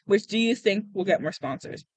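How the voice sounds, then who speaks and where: A reader voicing a gruff, scornful older male mentor in an audiobook-style novel reading. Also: medium pitch, lively intonation, a little fast, no room sound. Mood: blunt, scornful.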